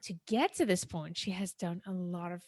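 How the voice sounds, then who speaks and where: A woman's voice: fairly low pitch, moderate pace, darkish tone, no echo, studio quality. A woman hosting a podcast interview as a business coach, speaking in an enthusiastic, effusive way.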